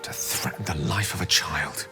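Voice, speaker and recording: A man as a low, grave, principled adventurer. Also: moderate pace, music in the background, lightly acted. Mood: grave disapproval. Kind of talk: video game companion dialogue.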